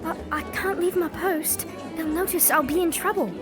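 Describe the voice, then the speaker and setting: A woman playing a frightened, hungry little workhouse girl, pleading and excitable, in a children's audio drama. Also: very high-pitched, moderate pace, over loud music. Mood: startled, excited.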